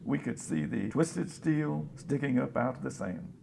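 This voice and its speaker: An actor playing a folksy, plain-spoken, hopeful scavenger in a radio drama about survivors of a disaster. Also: male voice, medium pitch, measured pace, vocal fry, noisy background. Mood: reflective, matter-of-fact. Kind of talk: radio drama, interview monologue.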